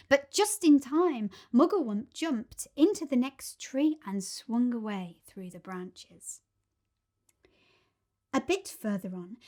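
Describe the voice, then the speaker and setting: A woman narrates a children's story in a high voice. She takes her time over the scripted lines, giving them expressive, lively rises and falls. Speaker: storybook reader.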